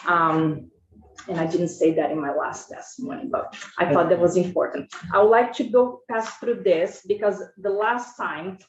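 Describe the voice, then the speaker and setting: A woman's voice: fairly low pitch, normal speed, a little hiss or hum. Brazilian-accented woman testifying, echoey room. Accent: Brazilian Portuguese. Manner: informal, self-correcting, earnest. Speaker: school food service director testifying. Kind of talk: committee testimony. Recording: echoey, muffled hearing room audio.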